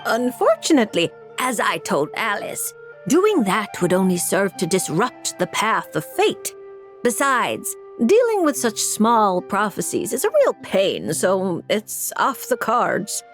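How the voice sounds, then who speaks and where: A woman's voice: medium pitch, huge pitch swings, a little slow, faint music behind. A woman voicing a grumpy, exasperated fortune-teller with a sardonic, matter-of-fact manner in a video game. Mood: measured, dry.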